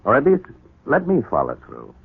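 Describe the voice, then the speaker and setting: An actor playing a troubled, guilt-ridden husband in a 1940s radio soap opera, brooding, deliberate and tender. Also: male voice, medium pitch, wildly swooping pitch, vocal fry, slightly rough, full character voice. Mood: earnest, pressing. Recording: muffled, narrow 1940s radio broadcast recording.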